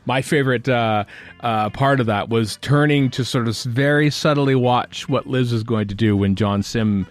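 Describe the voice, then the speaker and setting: A man's voice: mid-range voice, moderate pace, soft background music. A middle-aged man hosting a fan podcast in casual, chatty, rambling talk. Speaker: podcast host.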